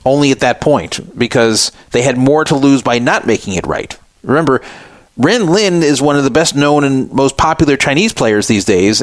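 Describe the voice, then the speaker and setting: A man's voice: mid-range voice, no room sound. A man hosting a talk radio show, giving opinionated commentary and scoffing sarcastically at a statement he reads aloud.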